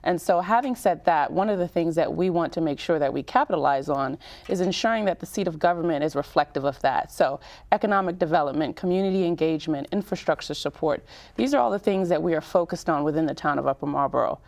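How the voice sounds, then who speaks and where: A woman in her thirties from Cameroon, speaking as a mayor in an interview in a measured, explanatory way with emphatic lists. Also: low voice, a little mellow. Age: 30s. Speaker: town mayor, interview guest. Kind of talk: public-affairs interview.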